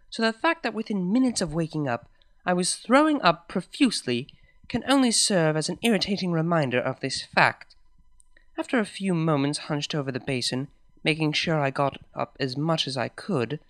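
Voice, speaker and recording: A woman reading a first-person story aloud, expressive and dramatic. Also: low-pitched, dry recording, clean studio sound. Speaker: fanfic reader.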